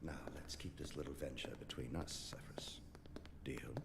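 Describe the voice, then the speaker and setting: Man playing a very deep, sinister dark wizard leader. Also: normal speed, gravelly, whispered. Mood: conspiratorial, commanding. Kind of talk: audio fantasy drama acting.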